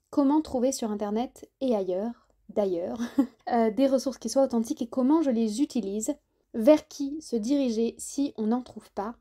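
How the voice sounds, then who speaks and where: Mellow, higher-pitched woman hosting a podcast. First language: French. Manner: didactic, conversational, addresses listener directly. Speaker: podcast host and French tutor. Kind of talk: solo explanatory podcast talk, informal.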